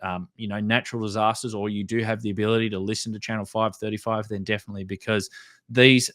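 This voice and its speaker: A man's voice: lowish voice, level tone, quick pace, a bit bright, dry recording, clean studio sound. A man with an Australian accent hosting a hobby channel, explaining things to viewers in an informal way. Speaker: radio hobby channel host.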